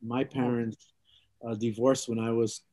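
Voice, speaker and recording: A man's voice: mid-range voice, little pitch movement, measured pace, dark tone, no echo. A middle-aged man from Hawaii, telling his life story in an oral history interview, rambling and reflective with many fillers and restarts.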